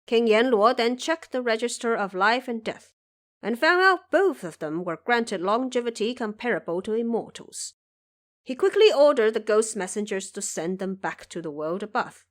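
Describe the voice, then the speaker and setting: A woman's voice: a little high, lively intonation, normal speed. A woman giving scripted storytelling narration for an audio drama.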